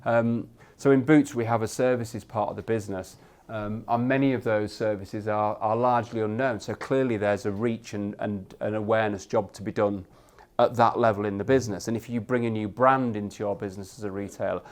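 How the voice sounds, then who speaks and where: A man with a Yorkshire accent and a loud voice, speaking as a guest on a live industry panel and often restarting his sentences as he thinks. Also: lowish voice, steady tone, fairly quick. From Yorkshire, England. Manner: thoughtful, with frequent restarts and repeated words. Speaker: head of media effectiveness, guest. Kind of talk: panel discussion at an industry event.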